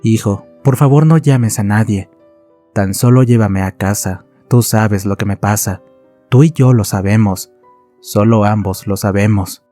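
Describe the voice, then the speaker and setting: A man acts out an ailing mother in a narrated horror story. The delivery is slow and pleading, secretive and soothing. The voice is mellow and lowish, over faint music. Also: close to an everyday voice. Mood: weary pleading.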